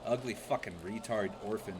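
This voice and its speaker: A man live-streaming crude, loud, mocking reaction commentary from a home desk mic. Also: on the low side, steady tone, normal speed, noisy background.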